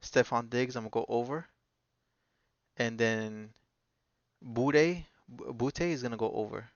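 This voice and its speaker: Man, thirties, gravelly, confident, rambling, dull dry recording. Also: medium pitch, a little slow, dry recording. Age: 30s. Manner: confident, rambling, repeats himself. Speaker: podcast co-host. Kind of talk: casual chat about sports bets.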